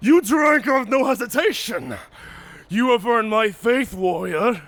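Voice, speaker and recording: A man playing a proud, honor-bound and taunting werewolf warrior in a cinematic audio roleplay, speaking formally with a menacing, raspy growl. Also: extremely high pitch, a bit bright, heavily acted. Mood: wary, commanding. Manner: slow, menacing, theatrical, formal speech.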